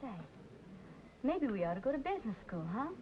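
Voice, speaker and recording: A woman's voice: high voice, big pitch swings, a little fast, slight background noise. An actress playing a chatty, forward young working-class woman in a mid-century film noir. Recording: muffled old soundtrack, loud music.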